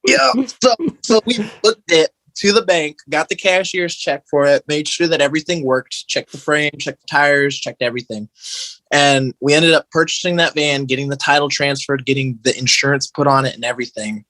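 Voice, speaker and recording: A man's voice: a little high, moderate pace, sharp tone, no room sound, rough recording. An American man chatting casually as a podcast guest, telling stories in an informal, slangy way. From Michigan, United States. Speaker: podcast guest, metalcore guitarist. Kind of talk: casual conversational storytelling.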